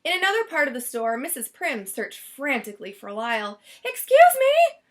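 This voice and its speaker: High-voiced, animated woman reading a storybook. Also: fairly quick, a little hiss or hum. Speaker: storybook reader. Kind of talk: expressive children's read-aloud narration.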